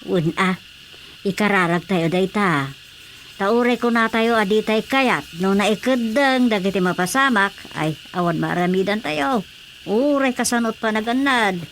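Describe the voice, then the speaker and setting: An actress playing a gentle, reassuring mother in a radio drama. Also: medium pitch, measured pace, slight background noise. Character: caring village mother. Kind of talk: Ilocano radio drama acting.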